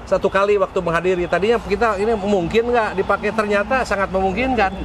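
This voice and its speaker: A middle-aged man with a rather high voice and a West Javan Indonesian accent hosts a casual vlog. He talks freely and with enthusiasm. The recording is outdoors, with clear ambient noise and passing vehicles around him. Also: fairly even intonation, fast talker. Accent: Sundanese-region Indonesian. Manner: enthusiastic, talkative. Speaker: vlog host. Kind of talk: casual on-location vlog chat. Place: open-air cafe terrace and outdoor stairs.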